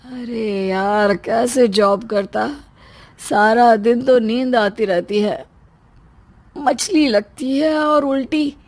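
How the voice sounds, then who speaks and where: A woman acts out a pregnant husband in a comic cartoon. He is fearful and put-upon, complaining in a high voice at a slow, plaintive pace. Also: a little mellow. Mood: whiny. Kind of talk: comic moral-story cartoon dialogue.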